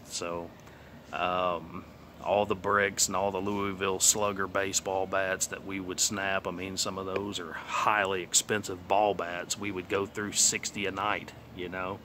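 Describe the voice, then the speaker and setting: A man's voice: low voice, level tone, measured pace, bright, forceful tone, slight background noise. A man in his late thirties with a Texas accent, telling his faith testimony in a rambling, plainspoken way, full of fillers, recorded outdoors. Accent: Texan. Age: 30s. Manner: rambling, plainspoken, many fillers and trailing asides. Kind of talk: casual personal testimony. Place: outdoors on a sunny day.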